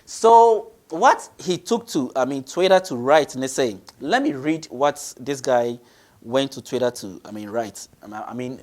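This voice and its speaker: A male radio host with a Ghanaian accent and a medium-pitched voice. He delivers opinionated commentary in an emphatic way, repeating phrases for stress. His talk moves back and forth between two languages. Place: radio studio.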